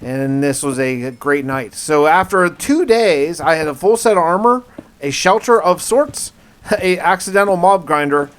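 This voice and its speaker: Male gaming storyteller, voice a bit on the high side, casual first-person narration with dramatic delivery and colloquial asides. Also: animated, measured pace. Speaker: gaming video host and narrator.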